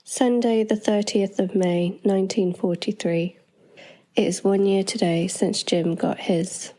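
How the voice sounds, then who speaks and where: Young female reader, mellow and unforced tone, reading from a diary. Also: mid-range voice, fairly even intonation, unhurried, rough recording. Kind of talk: reading a diary entry aloud.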